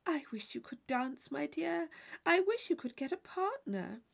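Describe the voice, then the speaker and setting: Woman acting a vain married gentlewoman, high-pitched, lilting widely, fussy, genteel, chatty, audiobook character. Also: big pitch swings, measured pace, gravelly, heavily acted. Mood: placid wishing.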